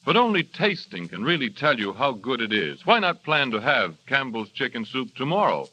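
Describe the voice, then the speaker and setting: A man reading a scripted commercial as radio announcer, smooth and persuasive. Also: medium pitch, very animated, moderate pace, bright, forceful tone. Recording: dull vintage radio recording.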